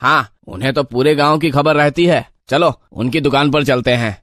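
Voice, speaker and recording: Man acting a young villager, curious, easygoing, moral story dialogue, medium pitch, dry. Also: moderate pace, a bit bright, dry recording, close to an everyday voice.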